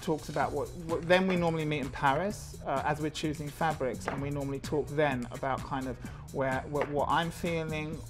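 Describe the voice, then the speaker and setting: A man's voice: a little high, normal speed, hardly any pauses, music in the background. A man, a fashion creative director, talking casually and at length with many fillers. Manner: chatty, lots of fillers, animated when describing designs. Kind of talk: casual interview talk.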